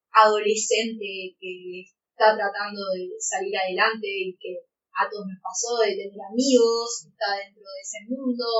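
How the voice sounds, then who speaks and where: A woman's voice: mid-range voice, a bit bright. A young woman, leading a casual group chat as host. She thinks aloud with plenty of hesitations. Her voice sounds distant in an echoey room, with muffled, dull audio. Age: young adult. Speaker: podcast host. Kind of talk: casual group discussion over a call.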